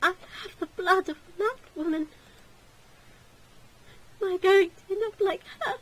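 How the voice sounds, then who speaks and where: A woman's voice: very high-pitched, a little slow, fairly bright tone, pitched above the performer's own voice, poor audio. A woman voicing a distraught, guilt-ridden young girl in a gothic visual novel, pleading tearfully. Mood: anguished, defensive. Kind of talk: dramatic visual novel reading.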